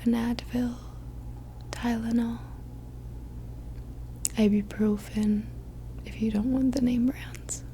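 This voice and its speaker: A woman whispering softly and breathily in a gentle, soothing ASMR roleplay as a caring friend. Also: fairly high pitch, little pitch movement, takes it slowly, long pauses, a little hiss or hum.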